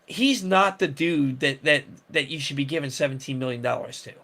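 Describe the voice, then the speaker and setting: A man talks sports in a loose, rambling way, restarting his sentences and padding them with fillers. His voice sits a little higher than most men's. He hosts the show in a casual chat style. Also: a little fast, creaky, pushed and bright. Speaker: sports podcast host.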